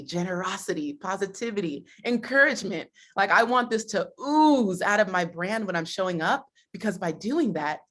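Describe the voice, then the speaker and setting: A young adult woman giving an upbeat motivational keynote at a summit, laughing often and stressing her points in lists. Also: mid-range voice. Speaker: summit speaker.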